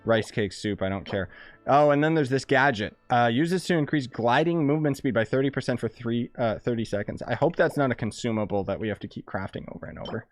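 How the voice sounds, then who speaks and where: A man's voice: mid-range voice, quick pace. A man giving casual, blunt and slangy live commentary as he streams a video game. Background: game music.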